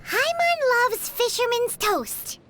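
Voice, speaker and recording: A woman voicing a tiny, childlike, naive fairy companion in a video game, with a squeaky, cutesy, exclaiming delivery. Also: animated, slow pace, a bit bright, slight background noise, exaggerated voice. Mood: chirpy. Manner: refers to self in third person, exclaiming, cutesy. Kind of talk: video game character voice acting.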